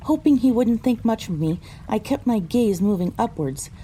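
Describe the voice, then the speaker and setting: A woman playing a pony who narrates her own adventure in a measured, descriptive storytelling style. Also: fairly high pitch, lively intonation, dark tone, slight background noise, natural voice, low-quality recording. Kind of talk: scripted first-person story narration.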